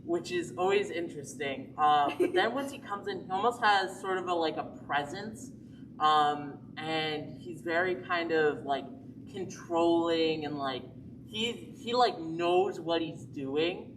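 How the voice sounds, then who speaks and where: Lower-voiced teen girl, thoughtful. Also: unhurried. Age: teens. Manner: thoughtful, hedging with fillers. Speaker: student actor. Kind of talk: casual panel talk. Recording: distant mic, noisy.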